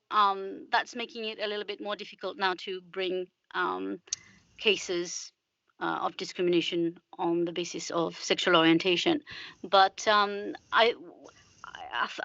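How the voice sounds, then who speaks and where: A woman's voice: medium pitch, pushed and bright, no echo. A woman hosting a podcast interview, on a slightly muffled recording. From United Kingdom resident.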